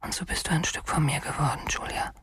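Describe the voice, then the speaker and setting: A man's voice: on the high side, fairly even intonation, quick pace, a bit bright, husky, no echo. An actor playing a deranged, obsessive male killer in his thirties in a German radio crime drama, pleading intimately and often sinking toward a whisper. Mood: tender, obsessed. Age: 30s.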